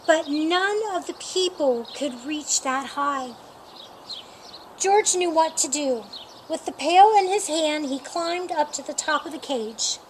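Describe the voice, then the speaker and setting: A woman with a high-pitched voice reads a children's picture book aloud. She takes her time, phrasing each part deliberately and expressively for young listeners. There is faint noise and birdsong behind her. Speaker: storyteller reading a picture book. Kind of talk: read-aloud children's storytime narration.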